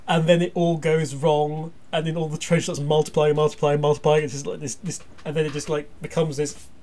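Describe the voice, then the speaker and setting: Male podcast host, slightly high voice, jokey and meandering, chatting casually with fellow fans. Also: a little fast, slight background noise. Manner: excitable, jokey, meandering storytelling. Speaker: podcast host reading listener feedback. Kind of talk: casual rambling fan chat.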